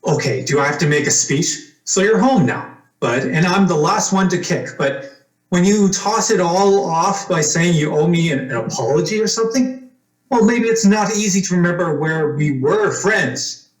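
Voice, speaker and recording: A man's voice: sits high, lively intonation, moderate pace. A man playing a bitter, wronged young man in an old-time radio drama, with a curt, resentful delivery. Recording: roomy, echoing recording.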